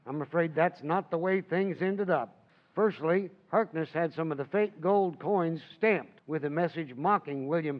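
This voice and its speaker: A man playing an older male author who narrates his own tale in an old-time radio play, with a measured, wry manner. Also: on the high side, lightly acted. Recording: tinny phone-like sound.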